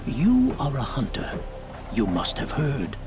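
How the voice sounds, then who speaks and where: A solemn male shaman from a video game, speaking very slowly with ritual care. His delivery is reverent and poetic, as if honoring spirits, over clear background music. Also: mid-range voice, huge pitch swings. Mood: reverent, searching. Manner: measured, poetic, ceremonial speech. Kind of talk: dramatic video game dialogue. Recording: music behind the voice.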